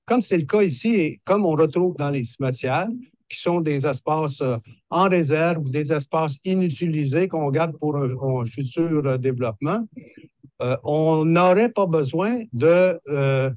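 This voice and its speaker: A man in his sixties with a Québécois accent, a horticulturist explaining green-space care in a measured, hesitant way with many fillers. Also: on the high side, a little mellow, no echo. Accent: Québécois French. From Quebec. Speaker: horticulturist presenting a video. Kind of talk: explanatory video talk.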